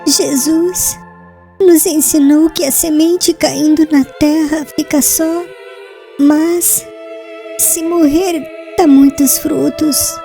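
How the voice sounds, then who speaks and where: A woman playing a gentle, devout dying young bride in an audiobook drama, speaking weakly, slowly and tenderly in a halting way. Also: sits high, fairly even intonation, creaky, soft background music, full character voice. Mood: frail, consoling. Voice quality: weak, coughing.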